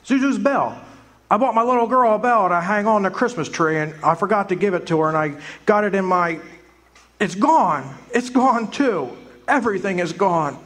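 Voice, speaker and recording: A man's voice: high-pitched, lively intonation, moderate pace, fairly bright tone. A man playing a bewildered, exasperated family man, stammering and agitated in a live radio drama. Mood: frantic. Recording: live stage recording, faint room noise.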